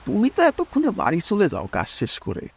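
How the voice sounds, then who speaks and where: A man playing a kindly, worried old village tea server with deferential, rustic, fatherly speech in an animated horror story. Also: high voice, moderate pace, creaky, slightly rough, heavily acted. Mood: concerned. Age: older.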